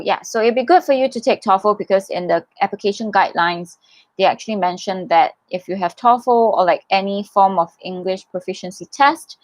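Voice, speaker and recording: A woman's voice: medium pitch, dry recording. A young woman hosting a casual livestream Q&A. She reads viewer comments aloud and answers them in a chatty, encouraging way, with many fillers and restarts. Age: young adult. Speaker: livestream host answering scholarship questions.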